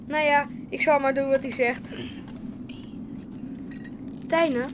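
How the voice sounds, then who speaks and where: Feisty high-voiced young village woman. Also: steady tone, slow, noisy background. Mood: sarcastic, concerned. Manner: scornful, blunt. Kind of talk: naturalistic radio drama acting.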